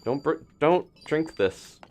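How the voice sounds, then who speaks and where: A man's voice: fairly high pitch, lively intonation, takes it slowly, music in the background. A man doing casual live commentary on a video game as he plays, thinking aloud. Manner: thinking aloud, talking to himself and his game character.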